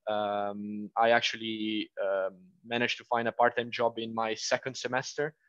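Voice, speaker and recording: A man's voice: on the low side, little pitch movement, normal speed, fairly bright tone, clean tone, no echo, muffled recording. A young man from Italy with an Italian accent, answering interview questions casually and hesitantly, with many fillers and restarts. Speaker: former international student.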